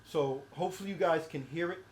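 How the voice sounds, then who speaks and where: A man's voice: on the high side, fast talker, a little hiss or hum. A man in his forties co-hosting, talking hesitantly with many fillers while he checks his equipment. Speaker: co-host. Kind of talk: casual setup chat. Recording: echoey room.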